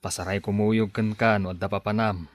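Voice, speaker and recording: A man's voice: lowish voice, flat delivery, brisk, no room sound, close to an everyday voice. A man playing a friend who gives advice in a radio drama, speaking in a matter-of-fact way. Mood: advising. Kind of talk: Ilocano radio drama acting.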